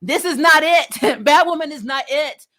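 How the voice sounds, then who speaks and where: A woman hosting a recap vlog, chatting casually and answering comments with strong opinions, in an emphatic, blunt, animated manner that includes swearing. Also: sits high, normal speed. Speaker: host of a TV show recap vlog.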